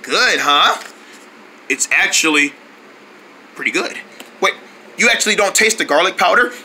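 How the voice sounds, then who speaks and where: A man voicing excitable, easily panicked cartoonish characters in a comedy skit, with exaggerated, dramatic delivery. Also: high voice, unhurried, a little hiss or hum, full character voice. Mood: casual bickering.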